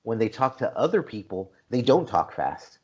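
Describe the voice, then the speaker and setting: A man's voice: medium pitch, sing-song, moderate pace, a crowd in the background. A man hosting a podcast, talking casually as he leads a film review discussion. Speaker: podcast host. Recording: dull, lo-fi podcast audio.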